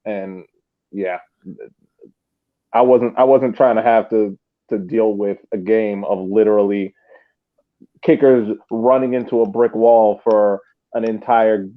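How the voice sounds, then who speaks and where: A man's voice: fairly low pitch, fairly even intonation, measured pace, long pauses. A man co-hosting a casual sports podcast, talking over a remote internet call. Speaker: podcast co-host. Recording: tinny phone-like internet call.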